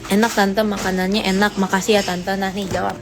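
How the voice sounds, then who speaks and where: A teenage girl chats on a phone livestream, reading out comments in a playful, teasing way. Her pitch sits in a middle range for a woman, and clear music plays behind her throughout. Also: level tone, a little fast. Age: teens. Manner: teasing, playful, rises high when mock-outraged. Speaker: livestream host. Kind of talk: casual live chat reading comments.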